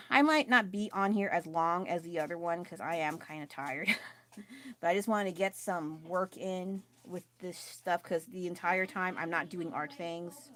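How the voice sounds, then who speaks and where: A woman chatting casually while painting on a live stream at home, self-deprecating and quick to laugh, with a loud voice. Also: lowish voice. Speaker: artist livestreaming her painting. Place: at home with family nearby.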